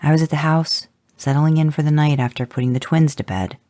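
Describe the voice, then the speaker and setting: A man's voice: fairly high pitch, steady tone, a little fast, dark tone, no echo, pitched above the performer's own voice, lightly acted. A man voicing a worried, plainspoken father telling what happened, close to his own voice. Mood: tense.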